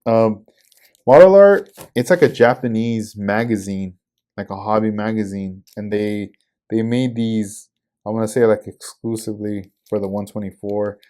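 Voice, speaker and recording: A man's voice: lowish voice, moderate pace, mellow. A man in his forties hosting a casual podcast, talking loosely about new hobby shop stock with lots of fillers. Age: 40s. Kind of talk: casual product rundown.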